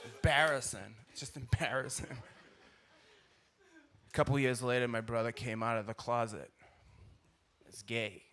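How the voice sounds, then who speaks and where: A man's voice: mid-range voice, fairly even intonation, measured pace, long pauses, fairly bright tone. A middle-aged man with a Boston accent doing blunt, colloquial stand-up storytelling into a stage mic. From South Boston, United States. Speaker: stand-up comedian. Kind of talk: stand-up comedy storytelling to a live audience. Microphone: stage microphone at a live show. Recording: live stage recording with audible background noise.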